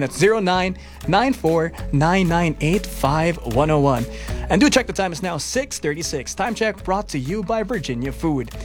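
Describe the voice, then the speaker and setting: A young man co-hosting a radio pregame show, easygoing in chat and smooth when reading plugs and time checks. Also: high voice. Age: young adult. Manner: easygoing, agreeable, reads announcements smoothly. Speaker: radio co-host.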